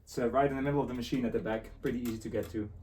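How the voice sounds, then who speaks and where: A man's voice: mid-range voice, steady tone, racing, mellow, a little hiss or hum. A man giving a casual, unscripted hands-on demonstration, muttering to himself as he works. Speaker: host of a tape deck repair channel.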